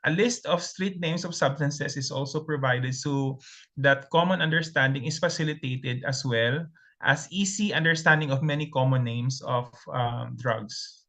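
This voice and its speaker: Filipino man with a bright, forceful voice. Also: medium pitch, moderate pace. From Philippines. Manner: methodical, lists items one by one. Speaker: pharmacist presenter. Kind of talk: slide lecture presentation.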